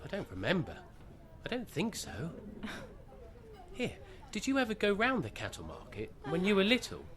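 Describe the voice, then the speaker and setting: A man plays a dull, earnest transport buff in a radio comedy drama. His pitch is lively and varied, yet he plods through trivia with naive, hesitant care. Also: moderate pace, a crowd in the background. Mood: awkward, unsure. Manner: plodding, hesitant, pedantic about trivia. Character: earnest, awkward male bus-spotting enthusiast, dull and naive. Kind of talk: radio play acting.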